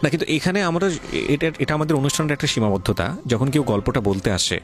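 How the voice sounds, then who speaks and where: Male radio host, Bangladeshi accent, quick and expressive, emphatic advice-giver in interview mode, music underneath. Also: mid-range voice. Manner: moralizing, emphatic, repeats points, slips in English phrases. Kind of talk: advice-giving interview on a life-story radio show.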